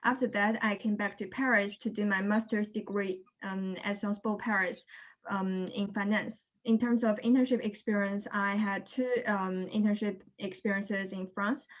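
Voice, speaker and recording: A woman's voice: mid-range voice, level tone, normal speed, rough recording. A woman in her twenties from China, answering interview questions directly with frequent ums. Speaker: guest.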